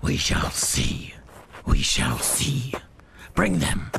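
An actor playing a stern, scheming alien gang captain in a pulp sci-fi audio drama, commanding and menacing. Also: male voice, a bass voice, sing-song, drawn-out words, gravelly, in a whisper, heavily acted. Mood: quiet menace.

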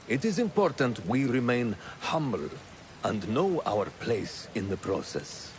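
An actor playing a wise older assassin elder in a video game, telling things in a deliberate, solemn manner. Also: male voice, mid-range voice, very animated, slight background noise. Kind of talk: dramatic video game acting.

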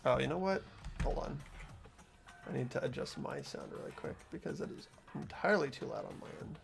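A man's voice: mid-range voice, very animated, a little fast, creaky, soft background music. A man hosting a game playthrough, reading narration and chatting casually with friends.

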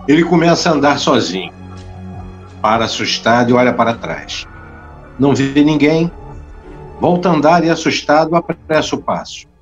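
A man's voice: medium pitch, expressive, moderate pace, long pauses, soft background music. A man narrating scenes for an audio drama from a script. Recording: dull lo-fi recording.

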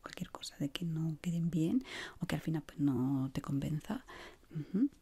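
A woman in her thirties with an accent from Spain, whispering softly and soothingly in an ASMR shop assistant roleplay, close to the mic. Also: deep voice, animated, moderate pace, gravelly, breathy edge. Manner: soft, soothing whispering and murmuring. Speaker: ASMR creator playing a shoe shop assistant. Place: small indoor room.

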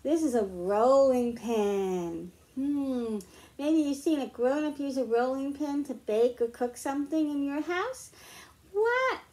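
A middle-aged woman reading picture books aloud to young children, explaining slowly and clearly at home. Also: high-pitched, lively intonation, warm tone. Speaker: children's librarian. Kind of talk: storytime read-aloud for kids.

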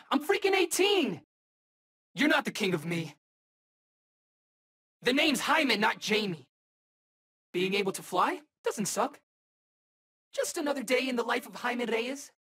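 A cocky teenage male superhero from a fighting game. His delivery swings widely in pitch, with a husky edge to the voice. He tosses out slangy wisecracks at an unhurried pace. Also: sharp tone, full character voice. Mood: excited, exasperated. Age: young adult. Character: cocky, wisecracking eighteen-year-old male armored superhero. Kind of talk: video game voice acting, short battle quips.

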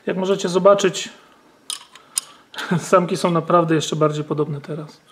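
High-voiced man explaining gun parts. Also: fairly even intonation, moderate pace, a little hiss or hum. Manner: explanatory, frequent fillers and tag questions. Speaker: gun channel host. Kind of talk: hands-on firearm demonstration.

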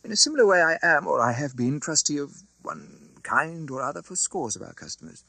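A man's voice: fairly high pitch, very animated, a little fast, vocal fry, pushed and bright, no room sound, full character voice. A man voicing a fussy, flustered, kindly old English banker in an audiobook, formal and businesslike, with a hesitant stammer. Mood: earnest, agitated. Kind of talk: dramatised audiobook reading.

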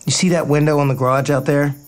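A man's voice: on the high side, flat delivery, quick pace, close to an everyday voice. Man acting a boyish, casual ballplayer. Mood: nostalgic, sincere. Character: playful, sincere, boyish male baseball player. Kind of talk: naturalistic audio drama acting.

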